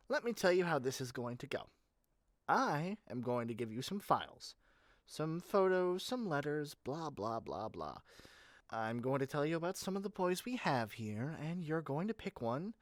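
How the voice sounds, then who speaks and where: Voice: mid-range voice, no echo, clean studio sound. A performer playing an eager, shady, frazzled salesperson in a scripted audio roleplay, chatty and sardonic with theatrical rambling asides. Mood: brisk, dismissive. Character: eager, shady, frazzled yandere matchmaking salesperson, cynical and fast-talking. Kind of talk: scripted audio roleplay monologue to one listener.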